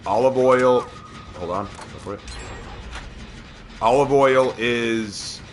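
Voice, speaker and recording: A man with a slightly hoarse voice, casually chatting and reacting as he streams a game. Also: mid-range voice, slow pace, a little hiss or hum. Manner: talkative, opinionated, laughs at the game. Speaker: livestreamer. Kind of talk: casual gameplay commentary.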